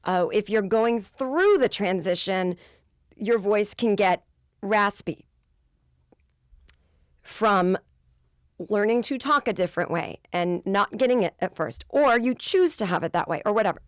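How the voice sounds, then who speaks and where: A woman in her forties hosting a podcast, with a low voice, ranting in an exasperated, profane way in a casual comedic monologue. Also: expressive, measured pace, pauses often, no room sound, clean studio sound. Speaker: podcast host.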